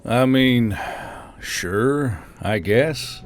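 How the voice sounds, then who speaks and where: A man's voice: mid-range voice, expressive, drawn-out words, a little hiss or hum. A man voicing an easygoing, offhand human man with a muttering delivery in an audiobook. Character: easygoing, casual human man, an office boss named Yul. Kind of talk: audiobook character dialogue.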